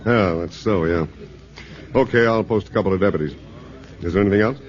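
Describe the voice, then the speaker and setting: An actor playing a relaxed, folksy small-town sheriff in a 1950s radio drama. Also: male voice, lowish voice, brisk, lightly acted. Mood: easygoing. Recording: muffled vintage radio broadcast with music.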